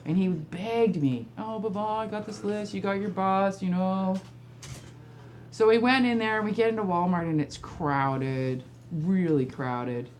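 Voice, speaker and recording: A middle-aged woman casually telling comic anecdotes, acting out both sides of conversations in an animated way. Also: mid-range voice, a little slow. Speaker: guest storyteller, mother of one of the crew. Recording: clearly noisy room ambience.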